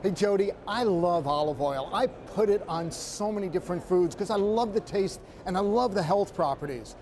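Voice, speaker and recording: A man's voice: high-pitched, moderate pace, darkish tone, a little hiss or hum. A man reporting from a trade show floor, conversational and upbeat, turning to address the anchor by name as he walks through products. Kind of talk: walk-and-talk product report.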